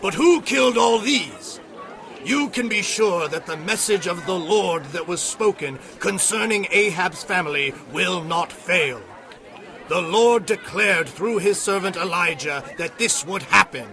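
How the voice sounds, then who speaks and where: Forceful, commanding warrior king. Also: high-pitched, animated, measured pace, a faint crowd, heavily acted. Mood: bold, declaring. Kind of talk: dramatized audio Bible acting.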